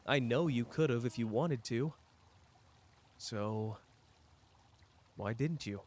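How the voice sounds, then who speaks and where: A man acts out a powerful male sorcerer with a cocky surface and a wounded core. He speaks at a middling male pitch in an intimate audio drama, casual and conversational. His teasing delivery is aimed straight at one listener, with pauses between thoughts. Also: darkish tone. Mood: hurt, confronting.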